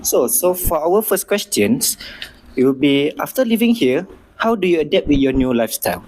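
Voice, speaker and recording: A man's voice: a little high, big pitch swings, moderate pace, darkish tone, slight background noise, low-quality recording. A young man from Malaysia, a student, asking formal interview questions in a polite, scripted way.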